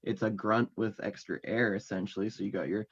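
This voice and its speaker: A man's voice: fairly low pitch, fairly even intonation, fairly quick. A man chatting casually as an interview guest about his music, rambling and full of fillers. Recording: dull lo-fi audio.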